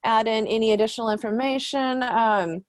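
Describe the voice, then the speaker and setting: A woman's voice: a little high, natural voice. A woman playing a posh British interviewer, genteel, fussy and hesitant, in an improvised comedy sketch. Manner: hesitant, polite, drawn-out affected gentility.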